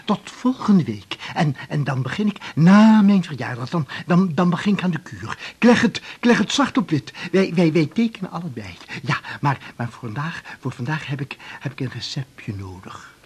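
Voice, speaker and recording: Wanhopige, hese verslaafde ex-huisarts. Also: male voice, a little high, expressive, normal speed, hardly any pauses, a little mellow, husky. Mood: wheedling. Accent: Netherlands Dutch. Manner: wheedling, agitated, stammering repetitions. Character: desperate morphine-addicted former family doctor, pleading and scheming. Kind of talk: Dutch radio drama acting.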